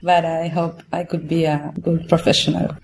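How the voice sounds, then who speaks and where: A woman from Argentina, a student talking in a relaxed way. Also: low voice, normal speed, gravelly, slight background noise, low-quality recording. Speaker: language student. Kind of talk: casual podcast interview.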